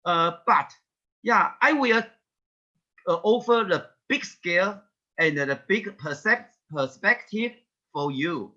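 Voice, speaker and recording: A man giving a webinar presentation over a video call, talking haltingly with many "yeah" fillers and restarts. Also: high voice, animated, bright, forceful tone, muffled recording. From Taiwan. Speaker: guest presenter.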